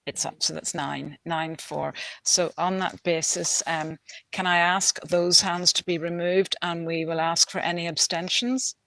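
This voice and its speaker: A woman chairing a council meeting, brisk and procedural as she calls on speakers. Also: fairly low pitch, steady tone, gravelly, fairly bright tone, dry recording, low-quality recording.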